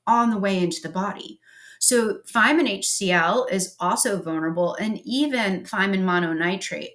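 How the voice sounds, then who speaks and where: Woman explainer, lowish pitch, bright tone, chatty lecture style with fillers, recorded at home. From Pennsylvania, US. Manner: casual and conversational, with frequent ums and likes. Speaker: health educator. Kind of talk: explanatory health lecture over slides.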